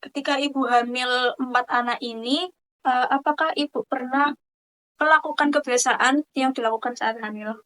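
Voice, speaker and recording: A woman's voice: high-pitched, fairly even intonation, normal speed, fairly bright tone, roomy sound. A woman interviewing a mother, reading polite questions with small hesitations. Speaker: student interviewer.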